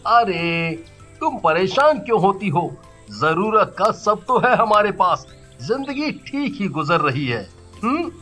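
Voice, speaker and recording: An actor playing a loyal, contented husband in a cartoon story, with a patient manner. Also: male voice, high voice, very animated, slow, pushed and bright, full character voice. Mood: patient, reassuring. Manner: patient, reassuring. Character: good-natured, contented, loyal husband, easily frightened. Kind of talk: Hindi cartoon story voice acting. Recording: background music.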